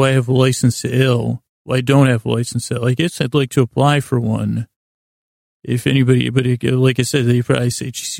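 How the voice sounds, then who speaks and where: A middle-aged American man talks in a creaky, gravelly voice that stays very flat. He hosts a meandering bedtime podcast, halting, doubling back and gently putting himself down. The recording is dry and close on the microphone. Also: mid-range voice, dry recording. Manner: halting, self-deprecating, trails off and circles back. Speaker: sleep podcast host. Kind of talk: rambling, meandering bedtime monologue.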